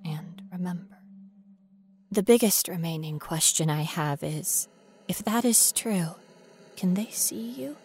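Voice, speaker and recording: A woman's voice: medium pitch, close to an everyday voice. A woman performing a frightened, paranoid young female student confined in a hospital, as dramatic horror narration over a noisy ambient bed. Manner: breathy asides dropping to whispers, rising to desperate pleading. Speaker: storyteller.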